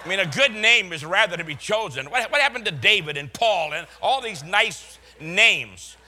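A man's voice: high-pitched, lively intonation, moderate pace, sharp tone, slight background noise. An older man from Boston with a Boston accent, a pastor preaching a sermon full of folksy, chuckling stories.

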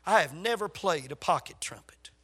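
An older man with a Southern US accent preaching in a folksy, emphatic storytelling way, his voice slightly raspy from a lingering cold. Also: on the high side, animated, moderate pace, a bit bright. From South Carolina, USA. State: getting over a cold. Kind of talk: sermon to a congregation.

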